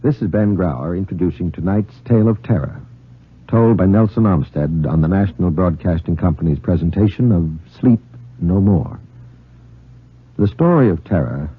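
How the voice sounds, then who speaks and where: A low-voiced American man hosts a 1950s radio horror show. He speaks in measured, scripted phrases with an ominous weight and a slightly rough grain. The sound is thin, muffled vintage broadcast audio. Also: expressive. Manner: solemn, ominous, measured delivery. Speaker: announcer and host of radio anthology.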